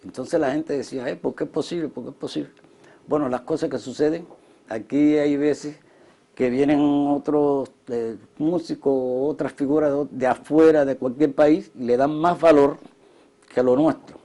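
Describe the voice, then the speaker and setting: A man's voice: on the high side, fairly even intonation, normal speed, mellow. A man with a Cuban accent recalling past times in an interview. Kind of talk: interview recollection of music history.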